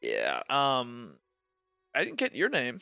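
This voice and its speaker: Man playing a flippant, callous professor, bright-voiced. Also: mid-range voice, huge pitch swings, takes it slowly, creaky, no echo, lo-fi sound, voice pushed down, full character voice. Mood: prying, intrigued. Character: callous, nosy, flippant hard-drinking male professor.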